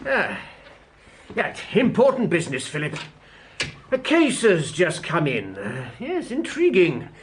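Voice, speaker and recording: Older gentleman lawyer, period radio drama, high swooping voice, husky, hearty and brisk. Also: wildly swooping pitch, slight background noise, full character voice. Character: brisk, hearty elderly male London lawyer uncle. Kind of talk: period audio drama acting.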